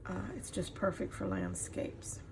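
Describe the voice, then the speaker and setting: A middle-aged woman giving a casual craft demonstration as she works, pausing often to think. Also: on the low side, sing-song. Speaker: craft tutorial host. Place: indoors at a craft table. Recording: clear background noise, room ambience.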